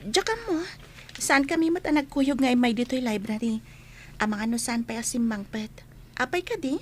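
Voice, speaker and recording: A woman's voice: fairly high pitch, moderate pace, lightly acted. A woman with an Ilocano accent playing a kind, frank young student in a radio drama, a cheerful and loyal friend. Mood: earnest.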